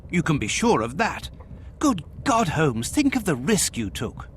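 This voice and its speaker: A man playing an excitable, loyal and proper Victorian gentleman in a video game, formal and indignant in his delivery. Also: on the high side, measured pace, a little hiss or hum. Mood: startled concern. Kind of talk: video game dramatic acting.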